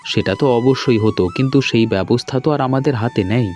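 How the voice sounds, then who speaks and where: A man's voice: mid-range voice, a little fast, a little mellow, natural voice. Hearty young husband, acted by a man, over music. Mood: conversational. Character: hospitable, insistent young village husband. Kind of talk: cartoon dialogue acting. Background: background music.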